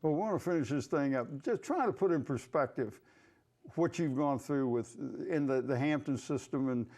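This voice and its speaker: A man's voice: medium pitch, lively intonation, moderate pace, darkish tone. A man hosting a conversational local sports interview, feeling his way through questions with repeated words. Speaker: sports talk show host.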